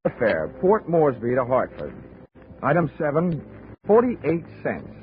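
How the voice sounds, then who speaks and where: A man's voice: mid-range voice, huge pitch swings, a little slow, a little hiss or hum. An actor playing a wisecracking male insurance investigator, narrating his case in 1940s radio drama style with wry, dry asides. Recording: tinny 1940s radio broadcast, faint music.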